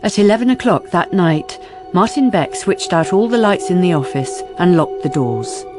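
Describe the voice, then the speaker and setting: A man narrating a crime radio drama as a wry, measured storyteller. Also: sits high, lively intonation, darkish tone. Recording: music behind the narration.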